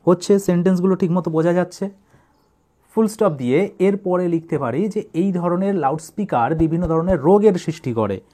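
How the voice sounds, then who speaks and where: A man's voice: high voice, fairly quick, dark tone. A man teaching English writing, dictating in short separated phrases and then explaining them.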